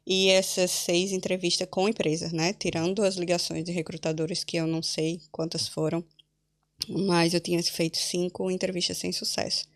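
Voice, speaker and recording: Woman, Brazilian accent, young adult, podcast guest, gravelly edge, animated storytelling. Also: lowish voice. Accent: Brazilian Portuguese. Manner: animated storytelling with laughing asides. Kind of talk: casual podcast interview.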